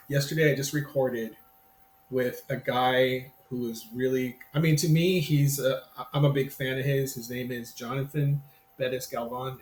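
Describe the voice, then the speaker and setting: A man in his fifties, a podcast guest chatting casually in a rambling, self-interrupting, friendly way. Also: mid-range voice. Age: 50s. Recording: roomy sound with faint ambient noise.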